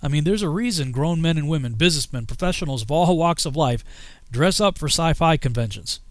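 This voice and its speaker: A man narrating his own scripted video as a filmmaker, with measured, emphatic phrasing. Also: fairly high pitch, expressive, dry recording. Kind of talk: scripted personal narration with a fundraising appeal.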